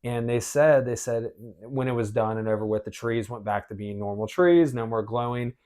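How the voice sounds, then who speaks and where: A man's voice: medium pitch, moderate pace, darkish tone, clean tone. A man with an American accent, hosting a podcast and telling personal stories in casual, conversational speech. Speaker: podcast host. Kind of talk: casual chat, personal storytelling.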